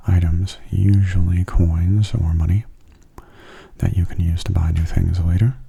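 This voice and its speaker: A man giving soft-spoken ASMR gameplay commentary in a gentle, unhurried, breathy half-whisper, close to the microphone. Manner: gentle, unhurried, low-key.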